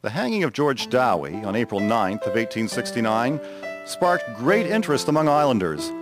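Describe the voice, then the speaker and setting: Male narrator for historical radio drama, medium pitch, guitar backing. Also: sing-song, normal speed. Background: plucked guitar music.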